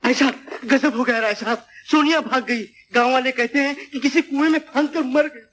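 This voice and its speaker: An excitable little village coward of 1950s film comedy. His voice is extremely high, and he chatters and pleads theatrically. A faint hiss of an old film soundtrack sits behind him. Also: moderate pace. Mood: cheeky, chatty. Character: excitable, cowardly village busybody, comic sidekick.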